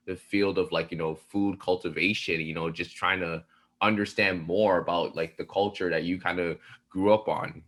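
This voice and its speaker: Deep-voiced man hosting a podcast. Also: slightly rough. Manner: relaxed, filler-heavy, with frequent "you know" and "like". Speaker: podcast host. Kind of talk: casual podcast chat.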